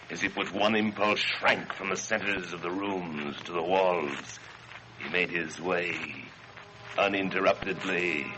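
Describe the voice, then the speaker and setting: A man with a low voice reads gothic horror aloud. His delivery is solemn and theatrical, each phrase placed with deliberation, over prominent music. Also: poor audio. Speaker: story reader. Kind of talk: dramatic gothic horror narration. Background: dramatic music.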